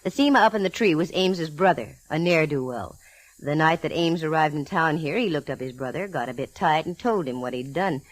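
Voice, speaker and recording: An actress playing a sharp, breezy female private eye in 1940s radio style, brisk and teasing. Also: low-pitched, no echo, rough recording. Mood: brisk, knowing. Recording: vintage 1940s radio recording.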